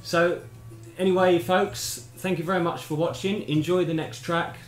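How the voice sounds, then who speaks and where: A man's voice: high voice, steady tone, normal speed. Middle-aged man in an echoey room. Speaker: presenter of his own equipment demo. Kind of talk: casual demonstration to camera. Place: small indoor home room. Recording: echoey room, music playing behind. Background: music from the tape machine.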